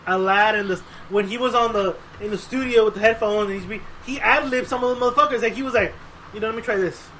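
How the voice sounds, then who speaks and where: A man's voice: high-pitched, fast talker, a little hiss or hum, poor audio. A man chatting casually as a podcast co-host, rambling and profane, acting out quotes as he tells stories. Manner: profane, rambling, animated storytelling with acted-out quotes. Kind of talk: casual chat.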